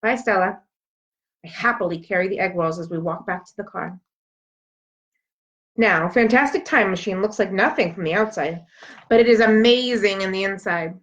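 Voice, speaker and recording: A woman reading a children's book aloud as an expressive storyteller. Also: mid-range voice, normal speed. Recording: dull, lo-fi sound.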